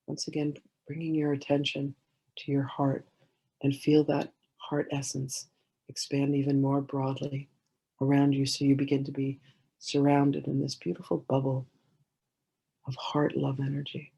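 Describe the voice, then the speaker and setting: A woman leading a guided meditation in a gentle, soothing way, giving slow instructions with many pauses. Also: very deep voice, monotone, breathy edge, low-quality recording.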